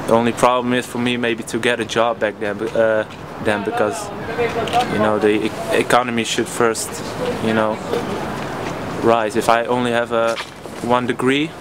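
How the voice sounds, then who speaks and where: Young man, casual positive talk, flat pitch, loud outdoor noise. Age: 20s. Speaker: business student interviewee.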